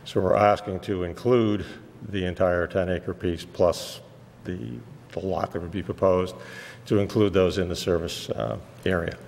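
A man with a cold, losing his voice, presenting a technical site plan to a planning board in a measured way with many "uh" fillers. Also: low-pitched, steady tone, a little mellow, in a large hall, a little hiss or hum. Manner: measured and explanatory, with frequent "uh" fillers. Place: town meeting room.

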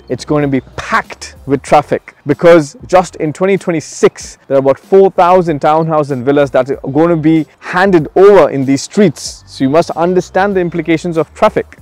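A man's voice: on the high side, darkish tone, no echo. A man giving an emphatic, salesy promotional presentation as a real estate agent, putting questions to the viewer.

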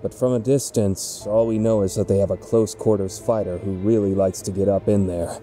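A man's voice: fairly low pitch, steady tone, moderate pace, mellow, soft background music, close to an everyday voice. A man voicing a grim, dutiful rebel soldier in a video game fan dub, giving terse military reports. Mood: grave report.

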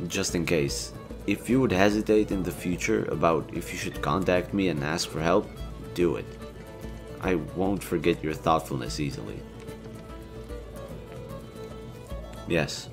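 A young man playing a playful, self-assured elven leader in a romantic audio roleplay, casual, teasing and expressive. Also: low-pitched. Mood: warm, earnest. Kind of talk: intimate romantic roleplay acting, conversational.

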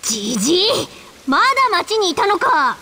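Brash anime game girl: piercingly high, animated, mouthy and excitable. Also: moderate pace, faint music behind, heavily acted. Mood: indignant, angry. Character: brash, hot-tempered, cheeky teenage girl. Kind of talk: Japanese anime-style game voice acting.

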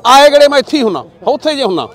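An older man with a Punjabi accent, a goat seller bargaining loudly at an outdoor market, pushy and talkative. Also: very high-pitched, sing-song, very fast. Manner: loud, pushy seller's patter, calls people "shera". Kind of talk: casual bargaining chat.

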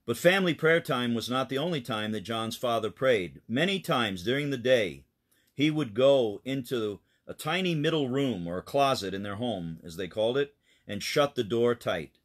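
A man, a pastor, reading a children's story aloud in a plain, explanatory way with deliberate phrasing and simple asides. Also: medium pitch, animated.

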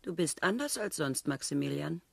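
An actress playing an ironic, poised newlywed wife who needles and probes in a radio play. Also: female voice, low voice, lively intonation, normal speed, gravelly, darkish tone, slightly rough, exaggerated voice. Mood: teasing. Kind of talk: German radio play acting.

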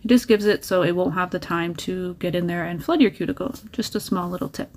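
A woman's voice: fairly low pitch, fairly quick. A woman talking casually through a nail art tutorial, chatty and encouraging, sharing little tips as she works.

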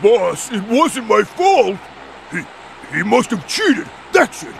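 A man's voice: sits high, sing-song, slow pace, creaky, a little hiss or hum, heavily acted. A man playing a big, dim-witted, obedient henchman in a video game, whiny and stammering. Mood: defensive, flustered. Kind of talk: video game cutscene acting.

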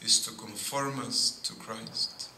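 Slow, bright-toned preaching priest in church. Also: male voice, mid-range voice, steady tone. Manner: slow, deliberate preaching with pauses and frequent "see". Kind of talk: sermon. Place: church sanctuary at a pulpit. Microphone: boom mic at pulpit. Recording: echoey church with faint noise.

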